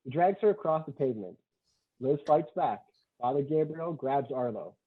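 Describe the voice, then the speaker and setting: A man's voice: a little high, lively intonation, normal speed, husky. A man reading screenplay stage directions aloud at a table read, matter-of-fact, in short clipped sentences. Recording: thin, phone-like online call audio.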